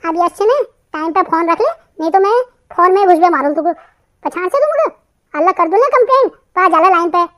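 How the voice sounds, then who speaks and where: A woman's voice: very high voice, expressive, brisk, a bit bright. A woman voicing a cocky, hot-tempered village lad in a comic cartoon, brash and scolding, with a Kumaoni accent. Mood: angry, threatening.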